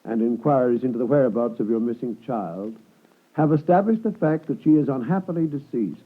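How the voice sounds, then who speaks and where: An actor playing a deferential, fussy law clerk in a 1950s radio drama, formal, hesitant and obsequious. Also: male voice, medium pitch, fairly quick, lightly acted. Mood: formal reading. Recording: tinny vintage 1950s radio broadcast.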